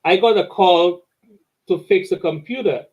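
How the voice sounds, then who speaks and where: A man's voice: sits high, measured pace. A Dominican man hosting an interview and eagerly telling a story from his week. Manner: eager storyteller, repeats words. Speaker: interview host. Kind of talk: animated anecdote in conversation.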